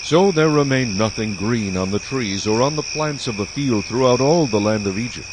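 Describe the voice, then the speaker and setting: A man narrating a dramatized audio Bible in a measured, solemn storytelling style. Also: mid-range voice, expressive, background noise. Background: music.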